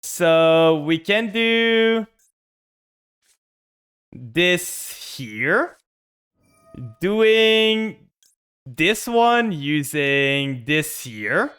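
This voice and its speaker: A man's voice: high-pitched, expressive, drawn-out words, pauses often, fairly bright tone, clear, resonant tone, faint music behind. A man with a French-Canadian accent, streaming a card game and talking his moves through with chat. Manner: thinks aloud, narrating each play to chat. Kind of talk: casual game stream commentary.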